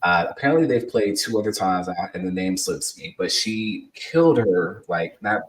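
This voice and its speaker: Low-voiced man in his thirties, rambling. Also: expressive, normal speed, recorded from a distance, background noise. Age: 30s. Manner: rambling, filler-heavy storytelling. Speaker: sports podcast co-host. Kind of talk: casual podcast chat.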